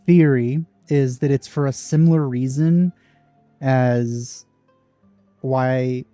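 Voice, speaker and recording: Dark, mellow-voiced man doing game commentary. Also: medium pitch, no room sound. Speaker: gaming channel host. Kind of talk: casual let's play commentary.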